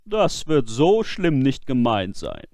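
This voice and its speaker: A man's voice: mid-range voice, sing-song, takes it slowly, darkish tone, dry recording. A man voicing a confident, sly sexton in a fairy tale, self-assured and emphatic. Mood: smug. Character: confident, sly sexton planning a harsh lesson. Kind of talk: fairy-tale storybook character voice.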